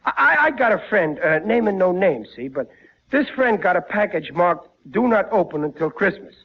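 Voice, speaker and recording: An actor playing a bumbling, excitable American working-class father in 1940s radio comedy, stammering and repeating his words. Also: high voice, moderate pace, full character voice. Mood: scheming. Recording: muffled, noisy vintage radio broadcast.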